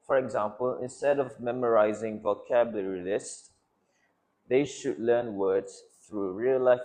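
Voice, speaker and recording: A young man speaks as a panelist in a fairly low voice. He talks fluently and formally, explaining points like a lecture. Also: darkish tone, poor audio. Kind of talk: student panel discussion.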